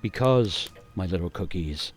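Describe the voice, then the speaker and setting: An actor playing a haunted gingerbread cookie who tells a grim cautionary tale in a suspenseful, theatrical radio monologue with deliberate pauses. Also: male voice, low-pitched, expressive, slight background noise. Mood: urgent warning. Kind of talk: dramatic monologue for radio storytelling.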